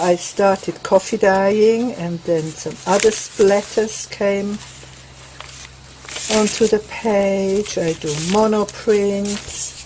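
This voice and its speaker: A woman's voice: on the low side, a little mellow, a little hiss or hum, poor audio. A woman from Switzerland with a Swiss accent, chatting unhurriedly through her craft papers on an outdoor veranda and listing items one by one. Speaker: crafting vlogger. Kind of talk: casual craft show-and-tell. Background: light breeze.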